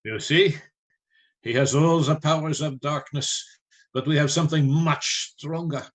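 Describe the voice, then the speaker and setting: A man playing a learned, resolute vampire-hunting professor in a radio play, grave, measured and authoritative. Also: a little high, fairly even intonation, lightly acted. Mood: commanding, solemn.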